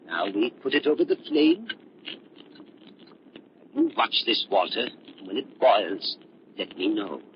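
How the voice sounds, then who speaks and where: An actor playing an embittered, paranoid older scientist who feigns kindness, in theatrical 1930s radio melodrama style. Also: male voice, on the low side, lively intonation, slow pace, long pauses. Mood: sly, eager. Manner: theatrical, volatile, swinging from soothing to ranting. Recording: muffled, lightly noisy 1930s radio recording.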